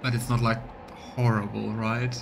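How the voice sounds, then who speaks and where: A man doing live let's play commentary, reading game text aloud and thinking out loud for his viewers. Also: on the low side, little pitch movement, unhurried, vocal fry, noisy background. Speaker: live streamer voicing a game playthrough.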